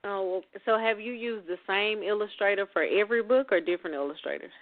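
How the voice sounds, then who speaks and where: A woman's voice: medium pitch, quick pace, no echo. Woman host asking questions on a tinny line. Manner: brief, encouraging reactions. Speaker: host, children's author. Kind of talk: casual interview. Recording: dry tinny phone-like line.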